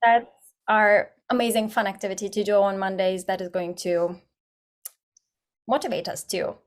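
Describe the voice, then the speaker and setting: Woman hosting a casual podcast, mid pitch. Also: fairly even intonation, normal speed. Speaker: podcast host.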